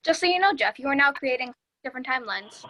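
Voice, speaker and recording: A woman's voice: high voice, expressive, quick pace, sharp tone, slight background noise, rough recording. A woman reading the part of a literal-minded, matter-of-fact young man in a sitcom table read. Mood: curious.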